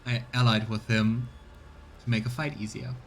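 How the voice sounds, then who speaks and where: A man's voice: medium pitch, flat delivery, normal speed. A man giving casual let's play commentary and reading game text aloud. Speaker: streamer. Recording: clear background noise.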